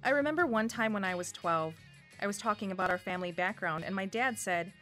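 A woman with a Wisconsin accent tells her own story in a reflective, scripted way. Her voice sits at an average pitch for a woman. Faint music plays underneath. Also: fast, fairly bright tone. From Wisconsin, USA. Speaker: radio story contributor. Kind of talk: scripted first-person narration.

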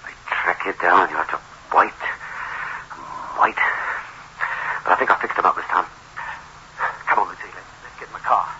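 Jumpy, guilty husband, sharp-voiced. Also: male voice, low-pitched, normal speed, pauses often. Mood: brooding, anxious. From South Africa. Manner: nervous, stammering. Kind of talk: 1960s radio suspense drama acting. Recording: dull, hissy old radio recording.

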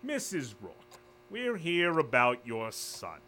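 An actor playing a smooth male corporate official who speaks with false politeness and quiet threat. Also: mid-range voice, wildly swooping pitch, takes it slowly, noisy background. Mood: smooth menace. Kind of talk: audio drama acting.

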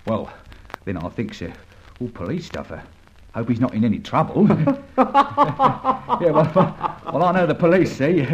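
An actor playing a chatty, deferential Cockney ex-burglar sidekick in an old-time radio drama, rambling and hesitant in colloquial speech. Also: male voice, mid-range voice, moderate pace, pauses often, darkish tone, lightly acted. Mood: hesitant, eager. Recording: dull, muffled old radio recording.

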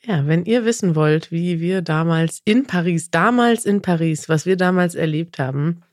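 A woman's voice: lowish voice, moderate pace, a little mellow, dry recording. Chatty woman, very dry sound. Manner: chatty, emphatic, self-correcting. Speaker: podcast co-host. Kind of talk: casual podcast chat. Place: new office.

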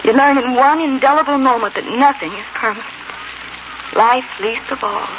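Heartfelt young frontier bride, vintage radio. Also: female voice, high-pitched, normal speed. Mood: bitter, grave. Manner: heartfelt, dramatic delivery. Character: devoted young frontier bride, intense and tender. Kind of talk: 1940s radio acting. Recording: noisy, muffled 1940s radio recording.

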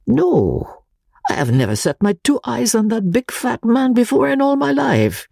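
A woman voicing an excitable, easily bewildered little Italian professor, exclamatory and dramatic, in an audiobook reading. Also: on the high side, unhurried, vocal fry, dry recording, clean studio sound, voice pushed up, exaggerated voice. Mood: emphatic denial.

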